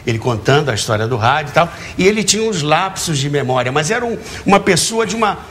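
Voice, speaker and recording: Older male, Brazilian accent, very lively intonation, emphatic interview storyteller, slightly noisy sound. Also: a little high, fast talker. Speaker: talk show guest, radio and TV educator. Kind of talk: interview, reminiscing.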